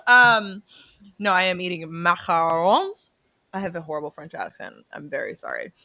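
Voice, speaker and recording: Bright-voiced chatty young woman host. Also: on the low side, moderate pace. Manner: chatty and animated, laughs often, swears. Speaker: podcast co-host. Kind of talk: casual chat.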